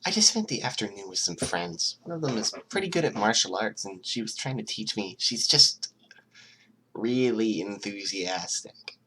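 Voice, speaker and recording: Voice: mid-range voice, animated, moderate pace, sharp tone, a little hiss or hum, rough recording. A narrator as an awkward, earnest teenage boy. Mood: sheepish. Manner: hesitant, stammering, earnest. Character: anxious, polite teenage boy, eager and awkward.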